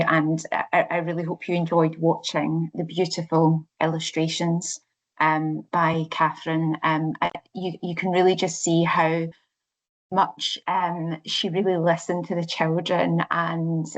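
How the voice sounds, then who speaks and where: Low, flat Scottish woman presenting a webinar. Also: poor audio. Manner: hesitant, with many fillers and restarts. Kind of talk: live online presentation.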